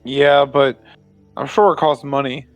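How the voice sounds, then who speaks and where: A man's voice: medium pitch, fairly even intonation, a little slow, smooth, lo-fi sound. A man playing a tabletop roleplaying game, chatting and bantering casually in character. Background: faint music.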